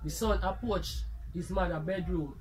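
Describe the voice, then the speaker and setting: Jamaican guy doing comic storytelling, pretty high voice, faint noise behind him. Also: moderate pace, darkish tone. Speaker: storyteller host. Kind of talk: comic storytelling in Patois-tinged speech.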